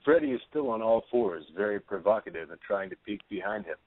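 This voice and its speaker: A man's voice: fairly low pitch, lively intonation, brisk, slight background noise. A man reading stage directions as scripted narration for a play, with narrow phone-like sound.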